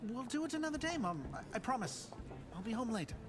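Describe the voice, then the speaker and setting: A nervous young man in a radio drama. He stammers and pleads apologetically, his pitch swinging widely. Loud music runs under the voice. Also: very animated, quick pace. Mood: apologetic. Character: anxious young man, guilty, pleading, son of a fussy mother. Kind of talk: audio drama acting.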